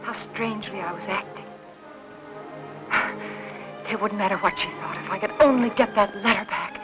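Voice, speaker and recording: A woman's voice: medium pitch, moderate pace, natural voice, poor audio. An American woman in her thirties acting a frightened, anxious housewife in a 1950s film melodrama, urgent and politely pleading. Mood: haunted narration. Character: frightened, anxious young housewife hiding a secret. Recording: old film soundtrack with music.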